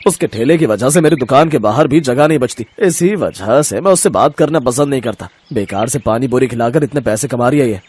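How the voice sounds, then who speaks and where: A man's voice: mid-range voice, lively intonation, a little fast, few pauses, a little mellow, breathy edge. A man playing a jealous, greedy shopkeeper brother-in-law in a moral story cartoon, grumbling and justifying himself. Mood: irritated.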